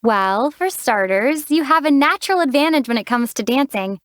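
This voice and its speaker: A young woman voicing a calm, dry-witted heroine in a game, teasing her companion in a deadpan way. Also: high-pitched, normal speed, dry recording. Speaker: player character, traveler heroine. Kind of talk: game voice acting.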